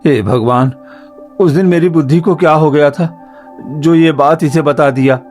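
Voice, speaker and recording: A man voicing an eager, easily frightened young village man who talks in a pleading, excitable way, with background music. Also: fairly high pitch, measured pace, lightly acted. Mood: scared.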